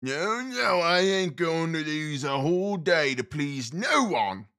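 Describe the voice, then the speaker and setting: A man's voice: a little high, lively intonation, slow, pushed and bright, heavily acted. An actor playing a stubborn, indignant old man who grumbles in uneducated dialect. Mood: stubborn, defiant.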